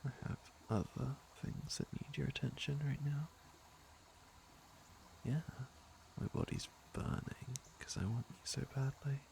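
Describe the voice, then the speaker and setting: A man's voice: medium pitch, measured pace, noisy background. A man playing a teasing, flirtatious fantasy villain with a caring side, in an intimate ASMR roleplay. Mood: seductive. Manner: smooth-talking, teasing, intimate. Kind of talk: ASMR roleplay acting, intimate second-person address.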